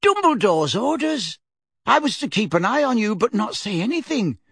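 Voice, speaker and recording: A frantic elderly neighbor lady, shrill and scolding for an audiobook. Her voice leaps high and swoops wildly as she frets and shrieks. The tone is slightly husky and recorded dry and close. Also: big pitch swings, moderate pace, a bit bright, no room sound, full character voice. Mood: fretful. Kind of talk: audiobook character voice.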